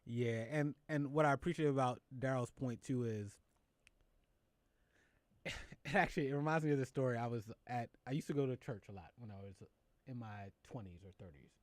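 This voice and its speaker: A male host talking through the week's news in a casual studio chat. His voice sits at an ordinary middle pitch, recorded close and dry. He gets animated and stumbles on his words when something excites him. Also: a little fast, no room sound. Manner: animated, laughs often, stammers when excited. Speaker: show host.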